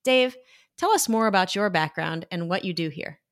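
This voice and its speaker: A woman's voice: on the low side, animated, moderate pace. A woman hosting a podcast, giving a polished, upbeat scripted introduction. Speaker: podcast host and program director. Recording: voice over background music. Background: music.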